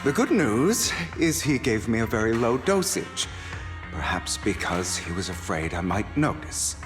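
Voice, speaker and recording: Slow, theatrical, proud gentleman schemer. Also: fairly low pitch, very animated, long reverb tail, music in the background. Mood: strained, defiant. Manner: deliberate, measured, dramatic. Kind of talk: dramatic video game voice acting.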